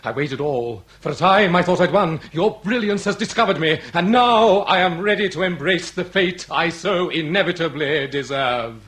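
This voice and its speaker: A man's voice: on the high side, normal speed, hardly any pauses, a bit bright, lightly acted. A man acting a proud, cold-blooded aristocratic hunter villain in a period radio melodrama, declaiming in florid formal phrases. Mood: grandiose confession. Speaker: actor.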